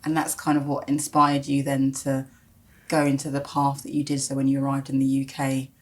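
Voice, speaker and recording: A woman educational psychologist interviewing, asking gentle, encouraging questions. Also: a bass voice, monotone, moderate pace. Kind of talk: oral history interview.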